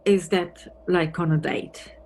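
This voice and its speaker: An actress playing a wry, wistful married police detective from Antwerp in an audio play, musing conversationally. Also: female voice, fairly low pitch, steady tone, slow, a little mellow. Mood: guarded, musing. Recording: noisy recording with background ambience.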